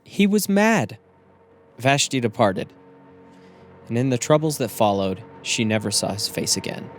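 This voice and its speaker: A man's voice: medium pitch, very animated, a little slow, soft background music. A man reading scripted literary narration as the narrator of an audiobook.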